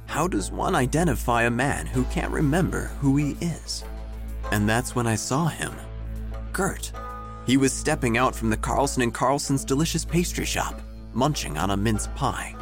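A man narrating a scripted audio drama in a measured, theatrical, dryly whimsical storytelling manner. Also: mid-range voice, animated, soft background music.

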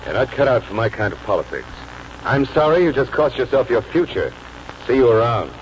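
An old radio drama with dull sound and faint hiss. A pragmatic party politician, acted by a man, scolds in a brusque, folksy way. His pitch moves around a great deal as he talks. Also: sing-song, fairly quick, natural voice. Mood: brusque, dismissive. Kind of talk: 1940s radio acting.

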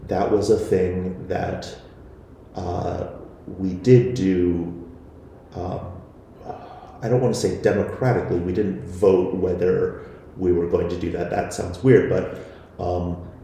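A man's voice: low voice, steady tone, slow pace, creaky, dark tone, slight background noise. A man being interviewed about his podcast, talking hesitantly with many fillers and restarts. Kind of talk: casual interview answers. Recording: very echoey room.

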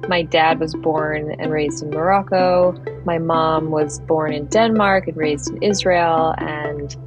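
A woman's voice: low-pitched, steady tone, takes it slowly, over music. A woman from Philadelphia, a furniture designer, thoughtfully recounting her path in an interview, often restarting her sentences. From Philadelphia, United States. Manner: thoughtful, with frequent restarts and "you know". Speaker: furniture designer, podcast guest. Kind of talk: reflective interview storytelling.

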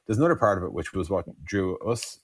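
A man's voice: low-pitched, lively intonation, quick pace, husky, no echo. An Irish man telling startup stories casually as a co-founder in an interview, digressing and peppering his talk with "like" and "you know". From Ireland. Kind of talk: casual conversational storytelling.

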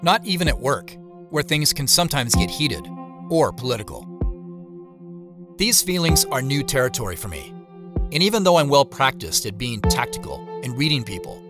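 Actor as troubled, guarded man, lively pitch, music. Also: normal speed, lightly acted. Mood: reflective, earnest. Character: troubled, guarded man confiding in his sister and narrating. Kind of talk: audio drama acting and first-person narration.

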